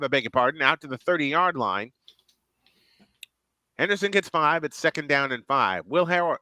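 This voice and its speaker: Guy doing play by play for a stream, bright punchy voice, fairly high, gets fired up on big plays, super dry close mic. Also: no echo. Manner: excited, quick calls with self-corrections. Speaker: play-by-play announcer. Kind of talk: alternative play-by-play commentary.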